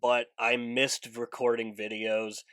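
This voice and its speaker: A stuffed-up man talks straight to the camera in a casual vlog, previewing matches with earnest, chatty enthusiasm. His voice sits at an ordinary middle pitch and sounds close and dry, with no room sound around it. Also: level tone, slow pace, a bit bright, clear, resonant tone, dry recording. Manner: earnest and chatty, lingers on stressed words. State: congested, recovering from a fever. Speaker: wrestling preview channel host. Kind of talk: casual vlog commentary, breaking down upcoming matches.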